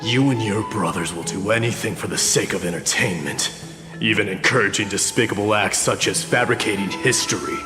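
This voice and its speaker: A man playing a proud, gruff warrior lord in a video game, stern, contemptuous and threatening. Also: fairly low pitch, a little fast, close to an everyday voice. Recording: echoey hall reverb, music underneath.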